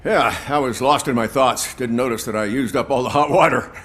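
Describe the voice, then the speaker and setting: A good-natured, distracted policeman, played by a male actor in a video game. His medium-pitched voice is hesitant, halting and peppered with uhs. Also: a bit bright, close to an everyday voice. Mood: concerned.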